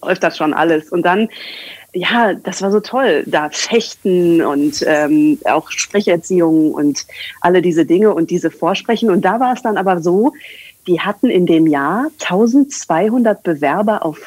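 Casting note: expressive, rambling woman in her 30s, casual interview chatter, close and dry recording. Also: lowish voice, unhurried, no echo, rough recording. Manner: chatty, rambling, many fillers. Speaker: communication coach and English trainer.

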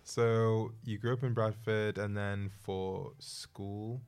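Very low-voiced woman podcast host. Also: steady tone, slow pace, a little mellow, clear, resonant tone. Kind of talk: scripted introduction, then conversational interview.

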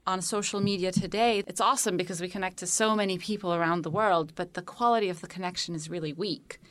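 A woman's voice: fairly low pitch, steady tone, fast talker, fairly bright tone, low-quality recording. A woman from Egypt talking as a guest in a panel conversation, articulate and enthusiastic, explaining her ideas with many examples. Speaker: tech company founder, guest.

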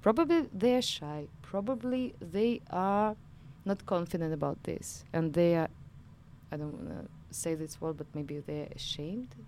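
A woman's voice: on the low side, a little slow, a little mellow, a little hiss or hum. A woman from Ukraine speaking emphatically in a studio discussion, posing rhetorical questions. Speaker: studio guest.

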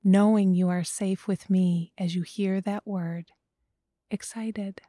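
Female meditation guide, very slow, hushed whispering delivery, warm and dark tone. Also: on the low side, little pitch movement, dry recording, studio quality. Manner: slow, soft, often whispered.

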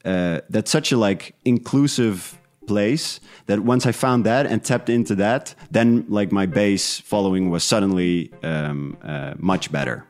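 Deep-voiced man in a casual interview. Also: unhurried, hardly any pauses, darkish tone, soft background music. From Netherlands. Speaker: podcast creator, interview guest.

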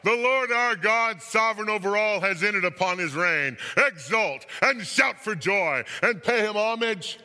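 Man acting exultant heavenly host, loud declamatory praise, high pitch, piercing tone, scripture drama, hall reverb. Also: animated, unhurried, few pauses, soft background music, full character voice. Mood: jubilant. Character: vast heavenly throng shouting exultant praise.

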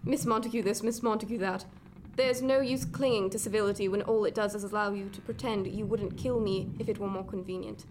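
A woman playing a middle-aged, cold and imperious 18th-century gentlewoman in a radio drama, speaking formally and bitingly. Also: medium pitch, steady tone, brisk, close to an everyday voice. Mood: bitter, commanding. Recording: clear background noise, ship ambience.

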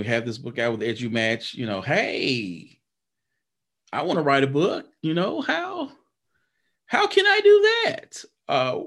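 A man's voice: medium pitch, a bit bright. A man hosting a podcast interview, talking loosely with lots of fillers and restarts, then rallying listeners in an upbeat sign-off. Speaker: podcast host. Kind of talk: casual interview chat and show outro.

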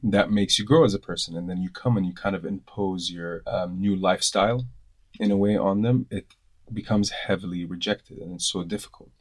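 Deep-voiced man musing on culture. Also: fairly quick. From Kuwait. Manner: reflective, talks in long explanatory runs. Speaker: panel guest. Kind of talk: casual discussion.

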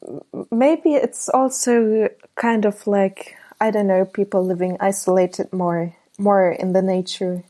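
Young woman from Germany, mellow voice, hesitant, lots of fillers, interview guest. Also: mid-range voice, steady tone, slow. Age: 20s. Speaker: guest photographer. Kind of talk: casual interview chat.

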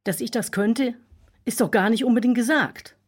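A woman's voice: on the high side, normal speed, husky. An actress playing a proud, curt woman who speaks formally in a German children's audio drama. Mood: bitter, defiant. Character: Gabi, proud bitter woman who softens. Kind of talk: German children's radio play acting.

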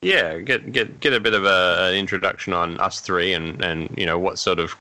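Brief: male co-host, very deep voice with a bright, forceful edge, halting and repetitive starts. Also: low-quality recording. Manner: halting, repeats words while starting sentences. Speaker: podcast co-host. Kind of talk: casual podcast chat.

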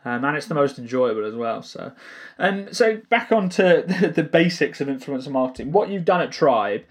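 A man hosting a podcast interview, asking halting questions with hesitations and restarts. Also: fairly high pitch, lively intonation. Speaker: podcast host.

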